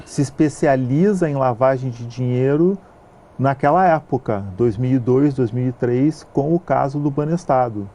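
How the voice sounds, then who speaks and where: A man from southern Brazil with a gaúcho accent, a film producer answering interview questions in a measured way, with frequent hesitation sounds. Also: medium pitch, mellow. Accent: gaúcho Brazilian Portuguese. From southern Brazil (Rio Grande do Sul).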